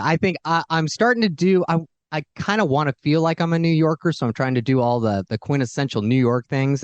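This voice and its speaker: A man with a fairly high, very animated voice co-hosts a casual chat show. He talks in an effusive, jokey way and leans hard on his points. The sound is dry and close. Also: no echo. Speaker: show co-host. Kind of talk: casual, bantering interview chat.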